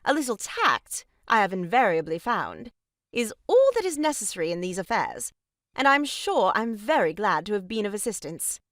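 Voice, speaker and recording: A woman's voice: medium pitch, big pitch swings, normal speed, a bit bright, no room sound, clean studio sound. An actress playing a meddling, pompous woman in a stage farce, declaiming with melodramatic grievance. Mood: brisk, condescending. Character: meddling, theatrically aggrieved sister-in-law, grandly moralizing woman. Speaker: voice actor. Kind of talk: stage farce audio drama acting.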